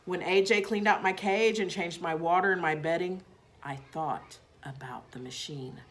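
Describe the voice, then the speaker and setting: A woman reading a children's storybook aloud in expressive narration. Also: lowish voice, moderate pace.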